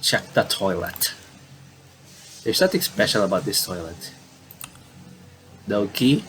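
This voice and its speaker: A man's voice: lowish voice, sing-song, slow pace, a bit bright, slight background noise. A man doing casual let's play commentary, reading game text aloud and rambling a little as he repeats and rephrases himself. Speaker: gamer reading a visual novel aloud with commentary.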